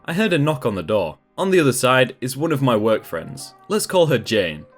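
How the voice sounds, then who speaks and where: A man narrating a read-aloud online story in a scripted, expressive manner. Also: mid-range voice, moderate pace. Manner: lively, expressive reading. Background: faint background music.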